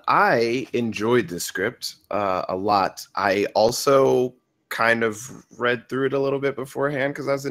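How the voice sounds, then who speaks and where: A man giving casual feedback in a rambling, halting way. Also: lowish voice, fairly bright tone. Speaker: table read narrator giving feedback.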